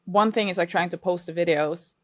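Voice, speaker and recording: A young Norwegian woman with a Norwegian accent and a lowish voice for a woman. She talks in an earnest, reflective way. The sound is close and dry, with no room around it. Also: brisk, no echo. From Norway. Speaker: guest. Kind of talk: casual podcast interview.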